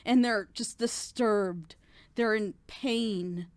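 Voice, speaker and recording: A woman's voice: mid-range voice, slow, no room sound. A woman talking casually and critically in a panel discussion, with an emphatic, exasperated manner. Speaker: reaction show panelist.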